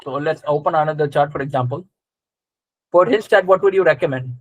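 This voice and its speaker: A man's voice: on the high side, a little fast, no echo, poor audio. A man teaching a live interactive class, explaining conversationally and checking understanding with short questions. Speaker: astrology teacher.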